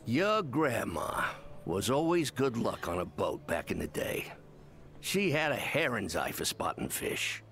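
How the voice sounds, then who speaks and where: Folksy, animated old fisherman. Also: mid-range voice, unhurried, gravelly, slight background noise, heavily acted. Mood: friendly, instructive. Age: older. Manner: folksy, avuncular, instructive. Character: gruff, friendly old male fisherman with cautionary tales. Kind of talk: video game character dialogue.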